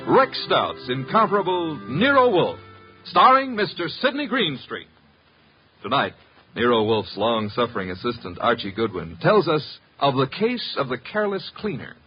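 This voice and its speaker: A man with a husky voice announcing in an emphatic, grandly formal 1950s American radio style. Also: fairly high pitch. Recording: muffled 1950s radio broadcast recording.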